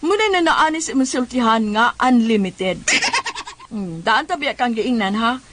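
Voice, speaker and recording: An actress with a Cebuano Filipino accent playing an exasperated woman who scolds with drawn-out, emphatic words in a comedy radio drama. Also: on the high side, animated, very noisy, lightly acted. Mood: scolding. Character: exasperated scolding woman nagging a hot-headed man.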